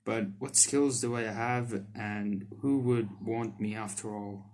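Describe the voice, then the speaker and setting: A man's voice: lowish voice, steady tone, slow, darkish tone, a little hiss or hum. Casual man doing let's play commentary. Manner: informal, slangy, swearing, reacts aloud to what he reads. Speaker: gaming YouTuber, host. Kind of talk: let's play commentary, reading visual novel text aloud with casual asides.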